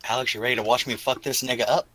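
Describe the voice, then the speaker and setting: Very bright, forceful-voiced man, cocky gamer. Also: medium pitch, flat delivery, rapid-fire, no room sound. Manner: cocky, informal. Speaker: tabletop game player. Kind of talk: casual banter during a roleplaying game.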